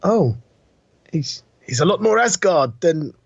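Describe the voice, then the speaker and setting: A man co-hosting a podcast, chatting casually about films in an anecdotal way with a slightly husky voice. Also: a little high, big pitch swings, slow pace, no room sound, lo-fi sound. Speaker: podcast co-host. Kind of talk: casual film chat.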